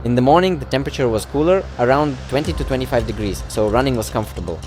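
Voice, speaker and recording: A man narrating his own running challenge as a reflective voice-over, in a steady and earnest way, recounting events step by step. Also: medium pitch, fast. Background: music.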